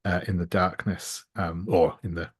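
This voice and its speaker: Low, husky man, halting game player. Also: flat delivery, no room sound, studio quality. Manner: halting, tentative, many trailing phrases. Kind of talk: casual game chat, describing character actions. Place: remote online group call.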